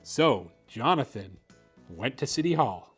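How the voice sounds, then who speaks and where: A man's voice: mid-range voice, very animated, unhurried, fairly bright tone, husky, soft background music. A man hosting a story time, narrating a children's picture book expressively. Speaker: host reading children's picture books.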